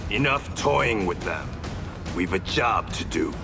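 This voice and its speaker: A man's voice: low-pitched, expressive, a little slow, creaky, full character voice. An actor playing a grim, ruthless older father villain in a video game, terse and menacing. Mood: impatient. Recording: loud music behind the voice.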